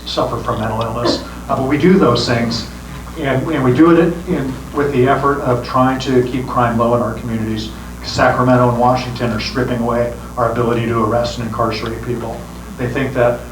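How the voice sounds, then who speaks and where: Brief: man, sheriff pitching re-election to a crowd, earnest, restarts phrases, heard from across an echoey room with background noise. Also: steady tone, a little fast, recorded from a distance. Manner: earnest, repeats words when starting phrases. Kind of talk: campaign speech to a meeting. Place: meeting room with an audience.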